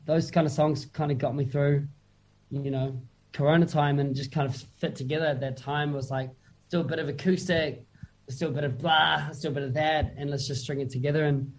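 A man's voice: medium pitch, a little mellow, low-quality recording. A man with a New Zealand accent, a musician chatting casually as an interview guest, laid-back and full of slang and fillers.

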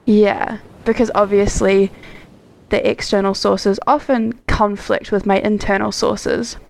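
Young woman cohosting a podcast, kinda gravelly, chatty and thinking out loud. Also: medium pitch, flat delivery, a little slow, poor audio. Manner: chatty and reflective, fillers like "like". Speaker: podcast co-host. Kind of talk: casual chat.

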